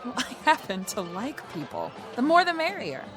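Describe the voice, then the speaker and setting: A woman's voice: a little high, huge pitch swings, moderate pace, a crowd in the background. An actress in a radio drama playing a flippant, flirty, party-loving wife who talks in period slang, with music behind her. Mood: dismissive.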